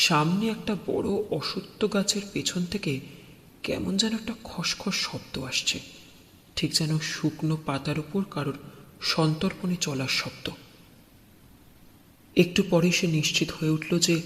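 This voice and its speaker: A man narrating a scripted horror story in a suspenseful, expressive, dramatic style. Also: a little high. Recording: long cavernous reverb.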